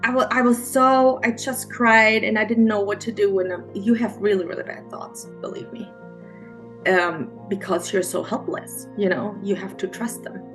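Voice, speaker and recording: A middle-aged woman with a German accent, a mindset coach, telling her story as an interview guest in a halting, earnest way with many restarts. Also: mid-range voice, fairly even intonation, a bit bright, faint music behind. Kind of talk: emotional personal storytelling interview.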